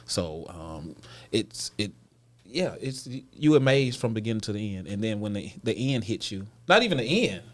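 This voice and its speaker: A man's voice: fairly low pitch, sing-song, moderate pace. An American man talking casually as an interview guest, enthusiastic and emphatic about his work.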